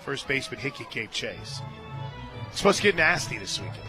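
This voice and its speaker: A man doing live radio play-by-play of a softball game, mixing pitch calls with folksy, joking asides. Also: very animated, brisk, over music. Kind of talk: live softball radio commentary with casual banter. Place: outdoor stadium press booth.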